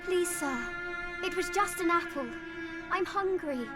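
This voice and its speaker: A woman's voice: very high voice, measured pace, loud music behind the voice. An actress playing a young orphan girl in a video game, earnest, plaintive and stubbornly moralizing. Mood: pleading.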